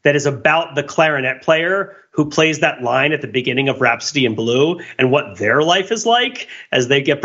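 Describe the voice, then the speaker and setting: A man with a bright, forward voice pitched a bit above average chats casually as a podcast panelist. He muses tentatively, circling toward his point. The recording is slightly lo-fi. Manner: tentative, musing, chuckles through some phrases. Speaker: podcast panelist discussing film. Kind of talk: casual chat, musing commentary.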